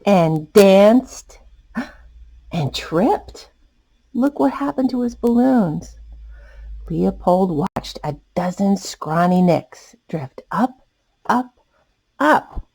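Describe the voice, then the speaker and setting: Female storytime host, picture-book read-aloud, very varied pitch, slow delivery, chatty asides for kids. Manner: animated, addressing young listeners.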